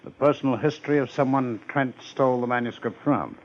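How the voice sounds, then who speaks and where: A man playing a plain-spoken, inquiring police lieutenant with brisk delivery in a 1950s radio detective drama. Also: medium pitch, close to an everyday voice. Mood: questioning. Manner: brisk, puzzled questioning. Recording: dull old radio recording.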